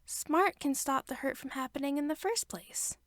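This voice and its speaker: Woman doing a high, thin little boy of four, earnest, in a storybook reading. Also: normal speed, fairly bright tone, no echo, clean studio sound. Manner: pleading, insistent child's voice. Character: small four-year-old boy, earnest and determined.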